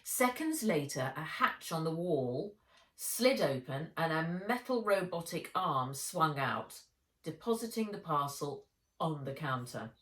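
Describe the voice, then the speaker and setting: Slow, expressive woman storyteller in echoey room. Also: low voice. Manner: dramatic, deliberate storytelling. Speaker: author reading her own book aloud. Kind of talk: expressive children's audiobook narration. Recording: roomy echo, distant from mic.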